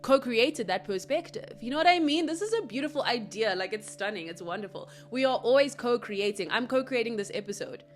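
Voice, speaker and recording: A woman from Africa, hosting a solo spiritual podcast, earnest and reflective with soft asides. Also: fairly high pitch, lively intonation, a little fast, few pauses. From South Africa. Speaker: podcast host.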